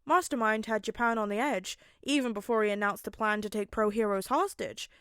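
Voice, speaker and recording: A woman's voice: mid-range voice, brisk, a bit bright, smooth, no room sound, clean studio sound, lightly acted. A woman voicing a polite, cooperative male hero in an earnest, placating way for a story reading. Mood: reassuring. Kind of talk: podfic character dialogue.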